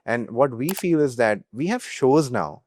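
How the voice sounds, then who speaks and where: A man's voice: mid-range voice, big pitch swings, measured pace, a little mellow. A young man with an Indian accent speaking earnestly as a startup co-founder in a business interview, often checking with a tag question. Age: young adult.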